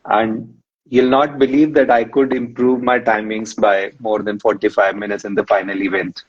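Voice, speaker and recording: Low-voiced man, lo-fi audio. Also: flat delivery, normal speed, breathy edge. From India. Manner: rambling storyteller, many "you know" fillers. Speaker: guest, runner and psychologist. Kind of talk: live-stream interview. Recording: muffled lo-fi stream audio.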